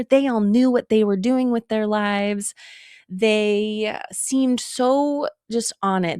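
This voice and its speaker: Lively storytelling woman, dry sound. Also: mid-range voice, clean tone, no room sound. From Utah, USA. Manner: lively, laughing storyteller who acts out her own past thoughts. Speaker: comedian guest. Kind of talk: personal storytelling in an interview.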